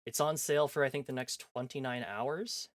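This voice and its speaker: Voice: medium pitch, moderate pace, dry recording, studio quality. A sleepy livestream host chatting casually to viewers, in the manner of a stream sign-off. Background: background music.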